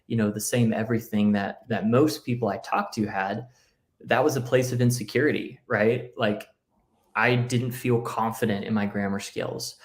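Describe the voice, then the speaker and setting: A man's voice: fairly low pitch, fairly even intonation, normal speed. A man whose first language was Spanish, now speaking with an American accent, talking earnestly as a guest author and often checking in with "right?". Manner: earnest, reflective, with fillers like "right?" and "you know". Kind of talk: casual interview talk.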